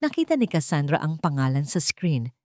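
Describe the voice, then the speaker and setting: A woman's voice: sits low, big pitch swings, normal speed, darkish tone, no echo, clean studio sound. A woman narrator reading a scripted romance story in a dramatic storytelling style.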